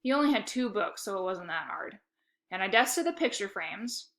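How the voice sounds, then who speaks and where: A woman reading a children's story aloud in an expressive, emphatic narrating style. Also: medium pitch, moderate pace. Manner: animated, emphatic dialogue.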